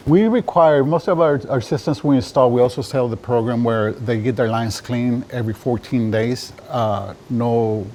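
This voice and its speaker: A man from Mexico giving casual interview answers about his trade, often halting as he searches for words. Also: medium pitch, darkish tone, slight background noise. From Juarez, Mexico. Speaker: interviewed draft beer system installer and business owner.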